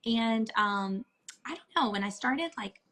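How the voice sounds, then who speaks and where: Hoarse, cracky-voiced woman guest. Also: fairly high pitch, animated, a little slow, fairly bright tone. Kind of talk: casual interview chat.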